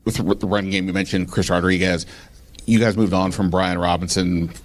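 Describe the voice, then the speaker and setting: Male press reporter, low monotone, rambling multi-part questioning. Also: moderate pace. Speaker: sports reporter.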